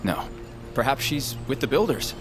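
A helpful male villager played by an actor in a fantasy audio drama. His voice sits at a medium pitch, plain-spoken and slightly hesitant, apologetic by nature, with music clearly behind. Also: very animated, moderate pace, close to an everyday voice. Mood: matter-of-fact.

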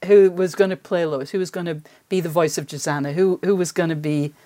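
Lowish-voiced woman hosting a podcast. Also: fairly even intonation, fairly quick, mellow. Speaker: podcast host and interviewer. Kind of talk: conversational interview and host-read narration.

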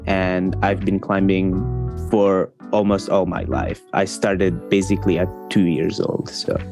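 Slow-talking young man. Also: low voice, steady tone, over music. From Switzerland. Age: 20s. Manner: shy, laughs, brief hesitant answers. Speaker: climber, guest.

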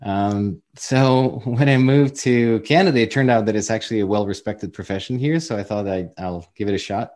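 A man's voice: on the low side, normal speed, hardly any pauses, a little mellow. A man in his forties from Ukraine with a Ukrainian accent, telling his career story as a guest in a casual interview, chatty and self-deprecating. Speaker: guest entrepreneur.

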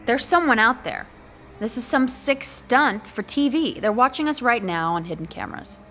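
A woman's voice: on the high side, animated, normal speed, a bit bright, faint music behind. An actress playing a sarcastic, exasperated young woman trapped in an apartment, in a naturalistic audio horror drama. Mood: exasperated, insistent. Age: young adult. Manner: sarcastic, bossy, naturalistic dialogue.

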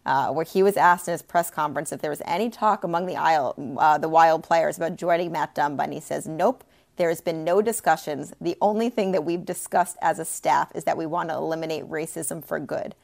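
A woman co-hosting a sports podcast, chatty and expressive, with playful asides. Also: deep voice, brisk, vocal fry. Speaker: podcast co-host. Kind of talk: casual sports talk.

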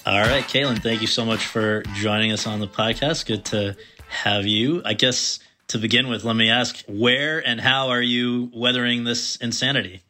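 A man's voice: medium pitch, steady tone, normal speed, pushed and bright, faint music behind. A man hosting an awards podcast, reading a formal introduction and then asking interview questions. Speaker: podcast host.

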